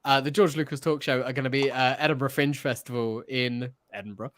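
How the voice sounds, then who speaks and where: A man's voice: mid-range voice, fairly even intonation, brisk, a bit bright. Casual man chatting as a podcast co-host. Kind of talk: casual chat.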